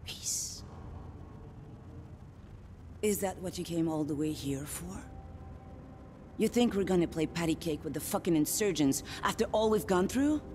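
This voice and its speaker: A woman's voice: on the low side, animated, unhurried, pauses often, darkish tone. Game role: hard-bitten female soldier, weary of war, scornful bluntness, slight ambient noise behind her. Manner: blunt, sarcastic, swearing. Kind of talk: video game cutscene acting. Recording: faint background noise.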